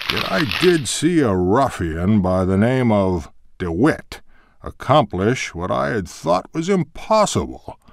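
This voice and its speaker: A man playing a pompous, wry old-time senator in a game, reading his memoir in a measured manner. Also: lowish voice, no room sound, studio quality, heavily acted.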